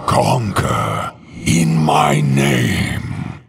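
An actor playing a cruel, imperious undead death knight king in a video game, with slow, menacing, commanding declarations in a deep gravelly rasp. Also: wildly swooping pitch, full character voice. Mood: imperious, solemn. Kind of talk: video game hero voice lines.

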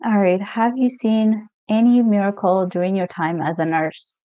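A middle-aged woman from Ethiopia hosts a casual live question-and-answer session as a mental health coach. She talks in a relaxed, meandering way, full of "um"s, and reads out comments from viewers. Her voice sits at an ordinary pitch, and the audio is muffled and close with no room sound. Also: unhurried, dry recording. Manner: relaxed, chatty, reads viewer comments aloud with many fillers. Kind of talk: live stream Q&A. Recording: dull lo-fi stream audio, dry.

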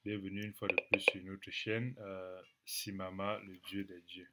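A man in his thirties, sick with a cold and clearing his throat, teaching from the Bible to a webcam in a small room at home, repeating phrases slowly for emphasis. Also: low voice, level tone, very noisy. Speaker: preacher.

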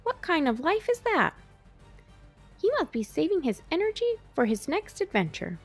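A woman voicing an excitable young male chick who adores his hero, in a storybook reading with eager exclamations and questions. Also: high-pitched, normal speed, faint music behind, pitched above the performer's own voice, exaggerated voice. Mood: admiring, earnest.

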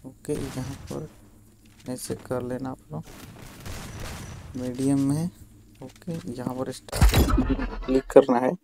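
A man's voice: mid-range voice, fairly even intonation, slow, gravelly, pauses often, background noise. A man giving a casual, instructional how-to voice-over, addressing viewers directly and repeating himself. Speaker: tutorial video host. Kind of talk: casual how-to narration over screen recording.

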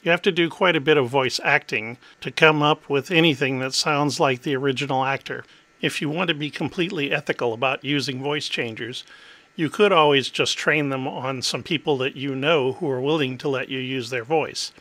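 A middle-aged man hosting a tech demo, explaining in a clear, methodical way. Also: medium pitch, normal speed, bright, forceful tone. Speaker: host.

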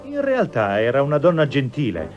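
A man's voice: medium pitch, wildly swooping pitch, moderate pace. A man reading scripted storybook narration for a cartoon fairy tale. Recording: dull lo-fi TV audio, music behind.

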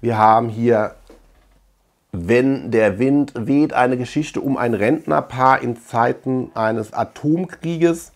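A middle-aged man with a mid-range voice talks casually to the camera as the host of a film review. His delivery is halting, and he often searches for the right word. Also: medium pitch. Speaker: host of a film collection channel. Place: small room lined with shelves of collectibles.

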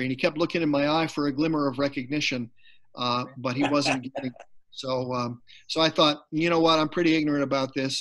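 A man speaking as the featured poet on a video call, his voice sitting a little high for a man. He tells stories in an animated, chatty way, laughing as he goes. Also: rough recording. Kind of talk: conversational storytelling on a video call.

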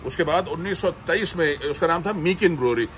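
A man's voice: on the high side, lively intonation, fairly quick, a bit bright, a little hiss or hum. A man co-hosting a travel show, presenting the history of a place outdoors in an upbeat, casual way. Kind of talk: casual travel vlog narration. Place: outdoors at brewery yard.

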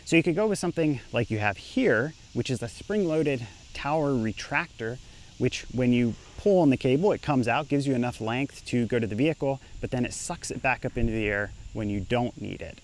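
A man's voice: medium pitch, expressive, fairly quick. A young man, an engineer, casually explaining to camera while standing outdoors on a residential street. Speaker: engineer and startup founder.

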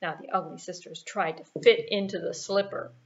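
A woman's voice: lowish voice, steady tone, moderate pace. A woman telling a children's story with puppets, recorded indoors with dull, muffled sound. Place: small plain room, indoors.

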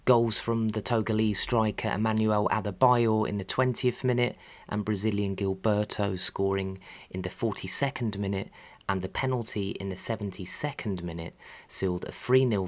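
Low-pitched man narrating football history. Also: fairly even intonation, normal speed. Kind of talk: scripted documentary narration.

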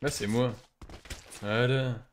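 A man's voice: mid-range voice, steady tone, slow pace, slight background noise. A young man chatting casually in slang as he streams a game, jokey and teasing. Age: young adult. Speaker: gaming streamer. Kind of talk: casual gameplay chat with slang.

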